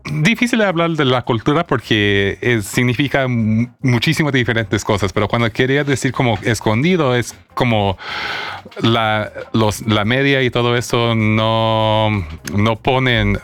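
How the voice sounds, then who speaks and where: A man with an American English accent, speaking Spanish in a casual conversation, often agreeing with quick repeated "yes" and pausing to search for words. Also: medium pitch, fairly bright tone, clean tone, soft background music. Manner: frequent "sí, sí, sí" agreement, halting word searches.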